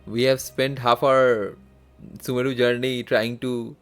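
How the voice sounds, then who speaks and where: A woman's voice: rumbling low, flat delivery, measured pace, faint music behind. A woman game streamer giving casual live commentary, with murmured short reactions and hesitant phrasing.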